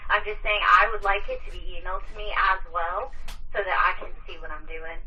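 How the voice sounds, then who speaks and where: A woman's voice: medium pitch, fairly even intonation, fast, pushed and bright, far from the mic. A woman working as an office clerk, answering a phone request in a firm, matter-of-fact way over a lo-fi line. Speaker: district attorney office clerk. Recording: dull lo-fi telephone line.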